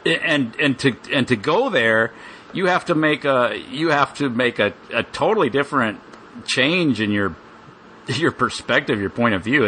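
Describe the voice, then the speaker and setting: A man talks off the cuff in a bright, forceful voice of middling pitch. He halts and repeats his phrases, with a little noise behind him. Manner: halting, repeats himself. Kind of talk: casual unscripted talk.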